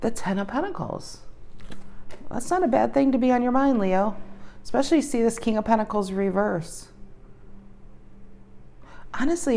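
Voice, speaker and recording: A woman's voice: medium pitch, gravelly, a little mellow. A woman giving a casual tarot reading to her viewers, thinking aloud and repeating her questions as she pulls cards, her voice slightly worn. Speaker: tarot reader.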